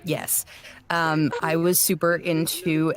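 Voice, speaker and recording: A woman's voice: sits low, flat delivery, slow, a crowd in the background. A woman voice actor and director talking casually in an interview, chatty and a little self-deprecating.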